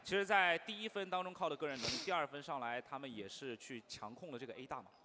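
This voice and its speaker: A man giving fast esports commentary, growing excited as the action builds. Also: on the high side, noisy background.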